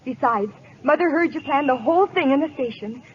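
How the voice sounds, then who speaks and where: An actress playing a spirited, suspicious young American sweetheart, dramatic and insistent, in a vintage radio drama. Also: female voice, sits high, a little fast, lightly acted. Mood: accusing, hurt. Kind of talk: 1940s-50s American radio acting. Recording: muffled, noisy old radio recording.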